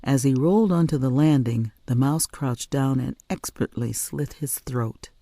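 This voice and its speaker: A woman narrating a story in an expressive audiobook reading style. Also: a bass voice, unhurried, no echo, clean studio sound. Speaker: author or reader narrating a story.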